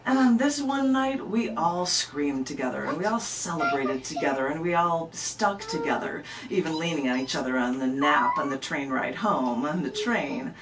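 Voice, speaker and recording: A woman's voice: sits low, lively intonation, moderate pace, hardly any pauses, far from the mic, crowd noise. A woman, telling stories like a live spoken-word poet in an emphatic, conversational way. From American Midwest. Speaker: poet, interview guest. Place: radio station studio. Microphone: camera microphone at some distance.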